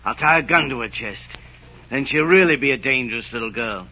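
A sneering soldier in an old radio drama. His voice is forceful and mid-pitched, his manner brusque. The recording is muffled and dated. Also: animated, fairly quick, a little hiss or hum. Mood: mocking. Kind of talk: 1940s radio acting. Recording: dull old radio recording, faint music.

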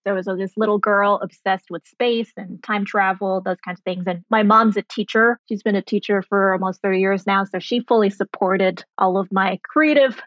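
A woman's voice: medium pitch, steady tone, moderate pace, few pauses, fairly bright tone, dry recording, lo-fi sound. Articulate woman explaining, close dry sound. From grew up in Germany and Sri Lanka. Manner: articulate, explains with simple analogies. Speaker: guest, biotech founder and laser physicist. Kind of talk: conversational interview explanation and personal storytelling.

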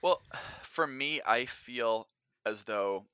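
Male interviewee, medium pitch, bright forward tone, measured casual explainer. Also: clear, resonant tone, dry recording, clean studio sound. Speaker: podcast guest, audio drama writer and actor.

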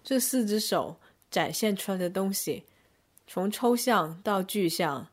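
A woman narrating a scripted first-person arts review in measured, descriptive short phrases. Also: medium pitch, expressive, breathy edge. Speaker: podcast host and reviewer.

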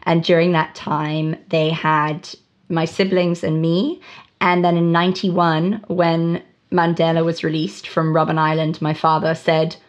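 A woman's voice: low-pitched, unhurried. Woman on a dull remote line. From South Africa. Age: 30s. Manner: lively, laughs easily, teasing. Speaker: guest musician. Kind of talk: casual interview chat. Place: home in Toronto.

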